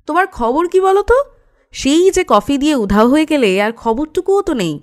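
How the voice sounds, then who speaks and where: A woman's voice: sits high, expressive, normal speed. A woman playing a busy clinic doctor in an audio drama, matter-of-fact and instructing, reassuring yet a little stern. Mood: impatient, scolding. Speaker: voice actor. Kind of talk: audio story dramatic acting.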